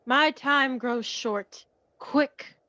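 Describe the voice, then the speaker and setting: A high, measured ghostly spirit played by a teenage girl in a radio play. It probes and corrects without sentiment. The sound is close, dry and a little muffled. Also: sharp tone, no echo, full character voice. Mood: stern. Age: teens. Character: ghostly spirit guide, questioning, stern and unsentimental. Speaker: student actor.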